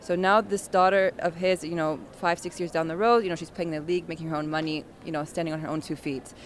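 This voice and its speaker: A woman, a national team head coach, answering interview questions in a determined, energetic way with many fillers. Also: low voice, fast talker, a little hiss or hum. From United States.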